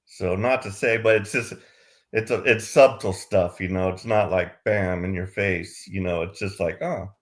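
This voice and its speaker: A man chatting casually as a student in a spiritual discussion, tentative and halting as he gropes for words. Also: sits low, steady tone. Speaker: student taking part in a spiritual Q&A. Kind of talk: casual chat.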